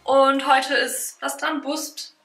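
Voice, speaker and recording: Young female vlogger, high and bright, casual and giggly, camera held close. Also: level tone, takes it slowly, roomy sound, faint music behind. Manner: chatty, laughs often. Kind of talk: casual vlog chat. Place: apartment indoors. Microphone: handheld camera at arm's length.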